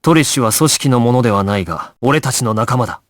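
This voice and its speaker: A man's voice: mid-range voice, animated, fast talker, no room sound, clean studio sound, natural voice. A male actor playing a resolute, composed young gangster squad leader in an anime video game, terse and authoritative in his clipped orders. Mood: grave, composed. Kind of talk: Japanese anime game voice acting, dramatic.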